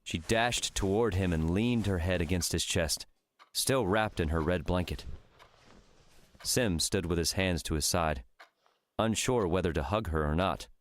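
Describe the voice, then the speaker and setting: Male storyteller for fiction audiobook, deep register, scripted dramatic narration. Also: a little slow.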